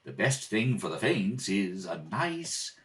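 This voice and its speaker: Casting: formal butler played by a man, polite and hesitant, unhurried, with lively swings in pitch, radio comedy, off-mic. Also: heavy background noise. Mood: coaxing, fussing. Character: polite, evasive young butler Godfrey, turning briskly bossy. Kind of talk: 1930s radio comedy acting. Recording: distant home kitchen-table recording.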